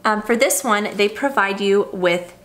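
A woman's voice: medium pitch, a little slow, noisy background. A woman in her thirties chatting through an unboxing in her home kitchen, upbeat and gushing over each item. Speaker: vlogger. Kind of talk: casual unboxing chat.

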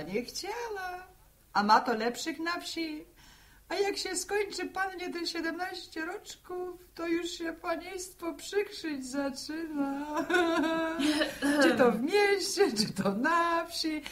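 High, swooping village gossip. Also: female voice, lively intonation, slow, a bit bright, slight background noise, exaggerated voice. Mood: knowing, mocking. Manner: effusive, singsong, gossipy. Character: nosy, gushing village gossip, a neighbour woman. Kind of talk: 1960s Polish radio drama acting.

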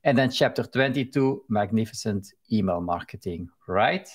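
A man's voice: fairly low pitch, animated, moderate pace. Belgian-accented man hosting a video call. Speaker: host. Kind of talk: interview host on video call. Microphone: headphones with mic on a video call.

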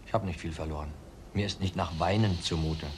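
An actor playing a cold-blooded ex-convict widower in a crime drama, defiant, blunt and self-assured. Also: male voice, low voice, fairly even intonation, brisk, lightly acted. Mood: defiant, bitter. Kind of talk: German TV crime drama acting. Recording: faint background noise.

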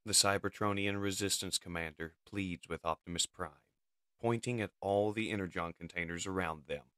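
Deep, forceful male comic narrator. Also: fairly even intonation, normal speed, no room sound, clean studio sound. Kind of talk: dramatic scripted narration of a comic. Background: music.

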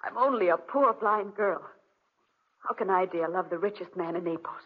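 A woman's voice: on the low side, normal speed, slightly rough. An actress playing a proud, anguished blind young woman, dramatic and defensive in 1940s radio style. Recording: muffled vintage 1940s radio recording.

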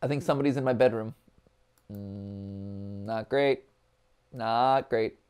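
Jokey, animated man reacting to a game. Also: lowish voice, slow pace, clear, resonant tone. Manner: animated, jokey asides, exclamatory. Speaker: livestream gaming host. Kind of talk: casual joking reaction commentary. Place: small furnished room, on a couch.

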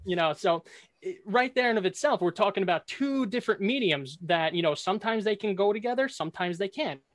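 Guy with a pretty high voice doing a casual podcast debate, dry sound, keeps rewording himself. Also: lively intonation, brisk, dry recording.

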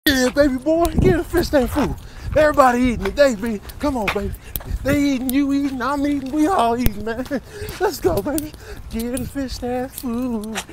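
Man as an excited, high-pitched hungry dog. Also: normal speed, background noise. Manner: chanting, hyped-up slang. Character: excited, food-loving pet dog cheering on feeding time. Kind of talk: comic animal voiceover.

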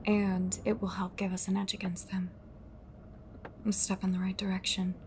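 A woman playing a grave, cryptic cult fighter in an audio drama, speaking in a measured, breathy way. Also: mid-range voice, flat delivery, background noise, lightly acted. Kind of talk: dramatic audio roleplay acting.

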